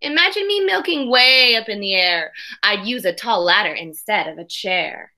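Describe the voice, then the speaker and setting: A woman's voice: mid-range voice, lively intonation, normal speed, pushed and bright. A woman in her thirties reading a picture book aloud as a teacher, expressively, with simple asides for children. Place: small room at home.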